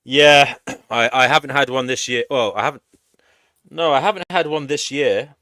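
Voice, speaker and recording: Clear, bright-voiced man hosting a stream. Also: mid-range voice, moderate pace, dry recording. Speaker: host of a live panel stream. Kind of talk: casual live chat.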